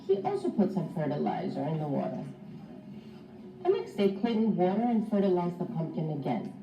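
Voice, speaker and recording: Female teacher, animated storybook read-aloud, distant roomy recording, dull sound, music underneath. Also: lowish voice, moderate pace, gravelly. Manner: expressive, instructive, addressing young children. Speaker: teacher reading aloud to pupils.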